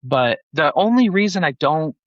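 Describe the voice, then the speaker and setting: A man's voice: a little high, lively intonation, moderate pace, no echo, dull audio. A man in his forties from Utah, a banker chatting as a guest in a casual interview, rambling with lots of filler words. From Utah, USA. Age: 40s. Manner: rambling, filler-heavy, many "like"s.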